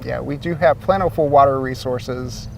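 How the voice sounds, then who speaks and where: A man's voice: medium pitch, normal speed, creaky, far from the mic, slight background noise. A man from Ohio, a guest, explains how his city's water supply works in an easygoing way with some fillers, speaking outdoors by a lake. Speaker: source water protection coordinator, guest. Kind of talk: explanatory interview answers.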